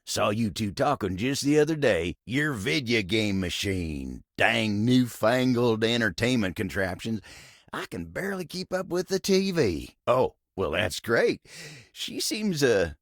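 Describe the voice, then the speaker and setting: An actor playing a lonesome, bashful cowboy table in a video game, with a gravelly Western American drawl and a gruff but kind, folksy, halting manner. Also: male voice, on the low side, big pitch swings, dry recording, studio quality. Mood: friendly, modest. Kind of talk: video game character dialogue.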